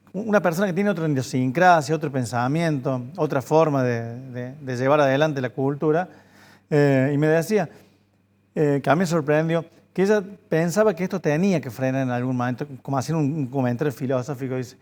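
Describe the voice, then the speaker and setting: A bookseller speaking as a guest, his voice sitting a little high. He talks earnestly and insistently, coming back to the same points again and again. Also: a little fast, darkish tone. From Argentina. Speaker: bookseller association president, guest. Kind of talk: interview answers.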